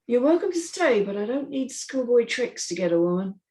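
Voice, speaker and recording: Woman voicing a raised-pitch, smooth-talking young party host. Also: big pitch swings, moderate pace, mellow. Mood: cool, proud. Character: hospitable, smooth-talking young man hosting a house party. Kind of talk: screenplay table read.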